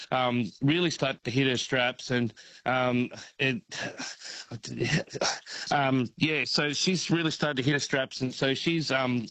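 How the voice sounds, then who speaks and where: A man's voice: mid-range voice, fairly bright tone, dry recording, poor audio. An Australian man rattles through a sports update for radio, hesitating and filling gaps with ums. The sound is dry and close, with a mid-range voice. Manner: hesitant, lots of fillers. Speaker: sports reporter.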